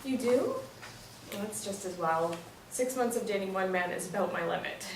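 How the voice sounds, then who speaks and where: A woman's voice: medium pitch, normal speed, close to an everyday voice. A woman playing a breezy, well-meaning but easily put-out visitor in a live stage play reading. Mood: offhand. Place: echoey indoor stage or hall. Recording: echoey room, distant mic, faint noise.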